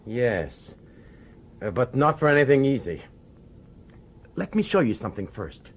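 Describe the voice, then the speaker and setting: A man's voice: mid-range voice, sing-song, measured pace, husky, full character voice. An actor playing a middle-aged male police commissioner in a radio drama, nervous and insistent, pleading with urgency. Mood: uneasy. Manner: urgent, hesitant, pleading authority.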